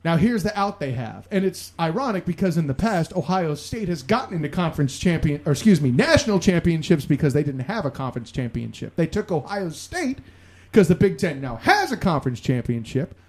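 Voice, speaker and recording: Animated man debating college football. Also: fairly high pitch, a little fast. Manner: animated, opinionated, jokey. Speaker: sports talk co-host. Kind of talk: casual sports debate.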